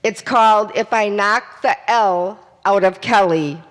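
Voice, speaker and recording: A woman's voice: medium pitch, unhurried, long reverb tail. A woman emceeing a community stage event, talking through a voice she says she is losing. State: losing her voice. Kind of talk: stage announcements.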